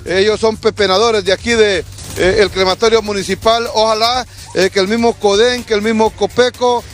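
A Honduran man reports live from an outdoor scene. His voice sits high and his delivery is urgent and restless, full of fillers. Faint music runs under the report. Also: fairly even intonation. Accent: Honduran Spanish. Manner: urgent, restless, fast-talking with many fillers. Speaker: field reporter. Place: outdoors at a burned house.

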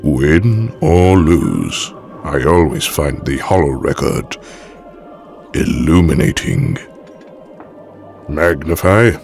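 An extremely deep, slow-spoken old aristocratic villain in an audio drama. He is formal, calm and manipulative, with silky menace, over background music. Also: creaky, heavily acted. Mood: cold, measured. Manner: measured, formal, silkily menacing.

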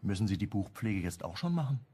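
An actor playing a hunted, wry amateur sleuth, narrating and acting in a crime radio play in a clipped, brooding way. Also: male voice, very animated, fairly quick, warm tone. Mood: pleading. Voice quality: low, husky. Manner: clipped, brooding, first-person telling.

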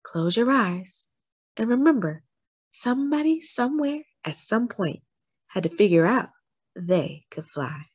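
Wise storybook grandmother, played by a woman: encouraging, slow, emphatic, very expressive, dry sound. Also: mid-range voice, husky, dry recording, voice pushed up. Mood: solemn, uplifting.

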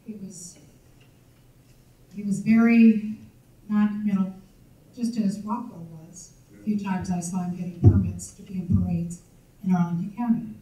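A woman's voice: medium pitch, steady tone, moderate pace, pauses often, warm tone. Older woman reminiscing in an echoey hall. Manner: chatty, rambling recollection with fillers. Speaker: audience member sharing memories. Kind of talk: recollecting at a public meeting. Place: large banquet hall. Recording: echoey hall, distant mic.

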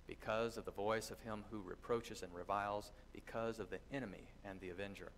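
Middle-aged pastor preaching in a church. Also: male voice, on the low side, fairly even intonation, a bit bright, a little hiss or hum. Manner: earnest teaching, thoughtful pauses. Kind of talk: sermon with scripture reading. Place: church sanctuary with congregation. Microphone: distant camera, lectern mic.

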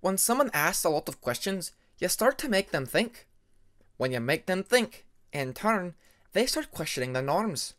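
Man voicing a formal young female dragon, higher-pitched. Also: big pitch swings, fairly bright tone. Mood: matter-of-fact. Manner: formal, measured, uncontracted speech.

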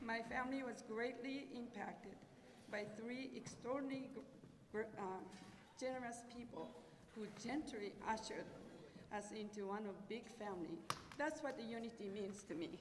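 A middle-aged Japanese woman with a Japanese accent, giving a careful, prepared personal speech at a podium with a handheld microphone, sometimes restarting words. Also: fairly high pitch. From southern Japan. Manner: careful, halting delivery with restarts. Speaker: guest speaker. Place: large school auditorium stage, at a podium. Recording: echoey auditorium with faint hiss.

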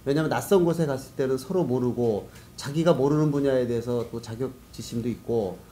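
A man's voice: mid-range voice, fairly quick, warm tone, a little hiss or hum. A man giving explanatory, conversational answers as a guest in a business interview. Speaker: guest, business network organizer.

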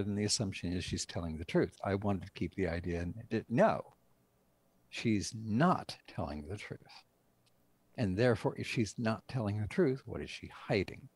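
An older man, a writer and director, deliberately explaining his work with emphasis in an interview over a video call. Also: on the low side, darkish tone, breathy edge, no room sound. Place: indoor room on a video call.

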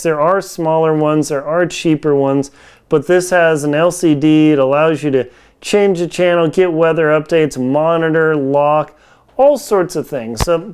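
Clear, higher-pitched man chatting through a review. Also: a little mellow. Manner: informal, conversational, slangy. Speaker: product reviewer. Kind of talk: casual review chat to camera. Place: small home kitchen, indoors. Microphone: lapel mic.

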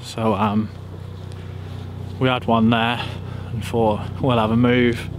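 A young man chats casually to the camera as an angling vlogger. His mid-pitched voice stays quite flat in melody. He is recorded outside, close to the camera, with clear ambient noise around him. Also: takes it slowly. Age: young adult. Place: outdoors by a river boatyard. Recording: clearly noisy outdoor ambience.

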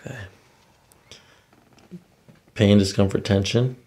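A man's voice: on the low side, steady tone, slow, darkish tone. A middle-aged man working as a chiropractor, talking a patient through a hands-on exam in short clinical questions and instructions. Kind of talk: hands-on patient examination talk. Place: small carpeted treatment room, indoors.

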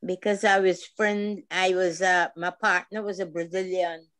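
A woman's voice: fairly low pitch, steady tone, fairly bright tone, dry recording. An elderly woman from Guyana with a Guyanese accent, giving short, laughing answers on a video call. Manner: slow, laughing, brief answers. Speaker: guest. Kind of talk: casual interview on a video call.

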